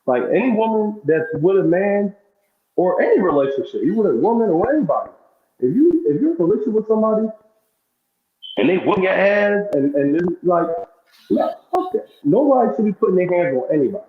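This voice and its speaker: A man's voice: sits high, big pitch swings, fairly quick. A man chatting casually and giving his opinions in a rambling way, repeating phrases and swearing now and then. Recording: echoey, dull lo-fi sound.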